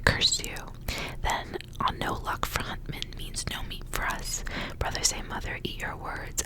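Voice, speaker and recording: A young woman whispering in a breathy, intimate ASMR style, softly reading and chatting. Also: low-quality recording. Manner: soft, intimate narration with casual asides. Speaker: ASMR reader.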